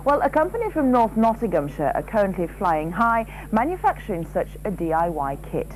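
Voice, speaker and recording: A woman's voice: medium pitch, big pitch swings, a little fast, a little mellow. A woman presenting a regional news programme with scripted, conversational links. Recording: noisy old TV broadcast, faint hum.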